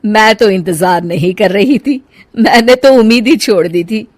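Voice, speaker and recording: A woman's voice: mid-range voice, moderate pace, dry recording, close to an everyday voice. A woman voicing an elderly, emotional and sulky mother-in-law in a cartoon moral story. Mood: calm, cool.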